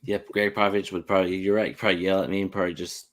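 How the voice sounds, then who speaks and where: A man's voice: deep voice, flat delivery, very fast. A man hosting a sports podcast in a casual interviewing style.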